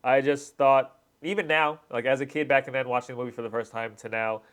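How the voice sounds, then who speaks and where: A man's voice: medium pitch, steady tone, a little fast, a bit bright. A man hosting a reaction video, giving casual, slangy fan commentary on fight scenes. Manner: informal slangy fan talk.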